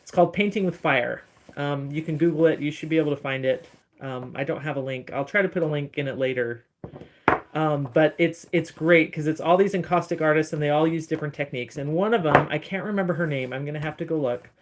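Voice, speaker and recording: A woman with a deep voice chatting casually on a phone livestream from her studio, rambling a little as she answers viewers' comments. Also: quick pace, noisy background. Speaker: encaustic artist streaming live. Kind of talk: casual livestream chat while working.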